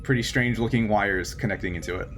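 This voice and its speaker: A man's voice: on the low side, quick pace, a bit bright, a little hiss or hum. A man narrating a tabletop role-playing game as game master in an informal way, thinking aloud as he goes.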